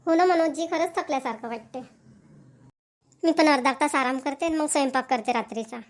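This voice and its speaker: Woman voicing a high-pitched, affectionate village woman. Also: brisk, close to an everyday voice. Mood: respectful, gentle. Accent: rural Maharashtrian. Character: affectionate village women, doting grandmother and dutiful daughter-in-law. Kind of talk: Marathi family cartoon voice acting.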